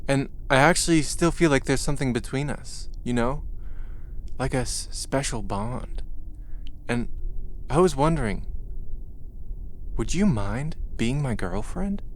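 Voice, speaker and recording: A man's voice: mid-range voice, expressive, measured pace, pauses often, lightly acted. A man acting in an audio play as an earnest, lonely young moon colonist, speaking sincerely and a little hesitantly. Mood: tender, earnest.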